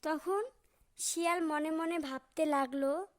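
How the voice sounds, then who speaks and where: A woman narrates a scripted children's fable. Her voice is very high and her pace is slow. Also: fairly even intonation.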